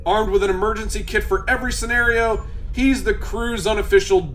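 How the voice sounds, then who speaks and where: A man's voice: high-pitched, fairly even intonation, fairly quick, a bit bright, slight background noise. A man reading scripted comic narration for a story in a theatrical storytelling manner.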